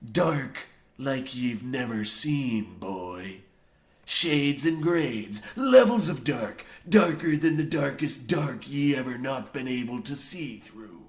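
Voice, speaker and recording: A man's voice: mid-range voice, lively intonation, slow. An actor playing a crazed, grandiose old coal mine foreman, speaking in theatrical, archaic rustic phrases in an old-radio horror parody. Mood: grandly ominous. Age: older. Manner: theatrical, archaic pirate-like rustic speech, preachy. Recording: roomy echo, faint noise.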